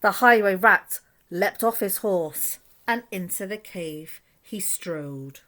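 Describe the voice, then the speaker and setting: A woman narrates a rhyming picture book for young children. Her voice is mid-pitched, and she tells the tale expressively, following the bounce of the verse. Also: takes it slowly, a bit bright. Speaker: storyteller reading to schoolchildren.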